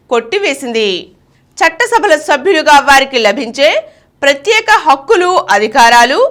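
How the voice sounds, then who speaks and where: A woman's voice: sits high, fairly bright tone. A woman reading a scripted news bulletin in a formal, emphatic way. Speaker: news reader.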